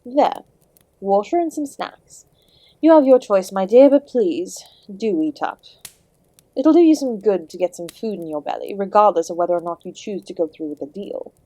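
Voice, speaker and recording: A woman acting as the Devil herself, an ancient and charming Princess of Darkness in an audio drama. She speaks at a medium pitch with genteel, theatrical courtesy and a sardonic, teasing edge. The sound is dry and intimate, addressed straight to one listener. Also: lively intonation, moderate pace, dark tone, dry recording, lightly acted. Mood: breezy, worldly. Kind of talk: scripted audio roleplay, addressing the listener directly.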